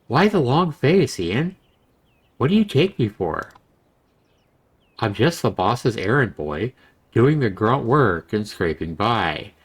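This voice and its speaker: Guy doing a cocky young bro character, video game, excitable and braggy, slangy. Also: mid-range voice, slow pace, long pauses, breathy edge, heavily acted. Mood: defensive. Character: cocky young male best friend, excitable, boastful errand boy.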